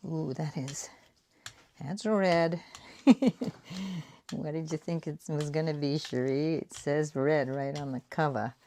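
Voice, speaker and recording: A low, gravelly-voiced woman in her sixties with a light Boston accent talks her way through a crafting session. She chats and rambles, often slipping into soft whispers as she works. A faint hiss sits under her voice. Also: steady tone, takes it slowly, long pauses. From New England, United States. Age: 60s. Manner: chatty and rambling, with soft whispered asides while working. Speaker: artist and craft vlogger. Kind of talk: casual chat while making prints.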